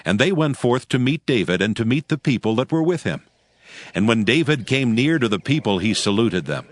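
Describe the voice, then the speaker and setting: A man narrating scripture as a scripted audio drama, with solemn, measured storytelling. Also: medium pitch, sing-song, no room sound. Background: music bed.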